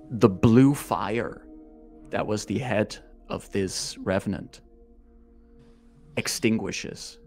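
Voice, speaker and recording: A man narrating a tabletop roleplaying game as game master, with deliberate, evocative storytelling. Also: on the low side, gravelly.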